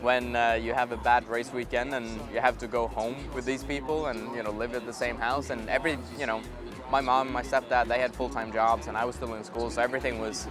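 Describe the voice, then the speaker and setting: A man's voice: mid-range voice, brisk, over music. A young man from Sweden, a racing driver chatting casually as an interview guest. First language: Swedish. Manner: relaxed, easygoing, frequent ums and yeahs.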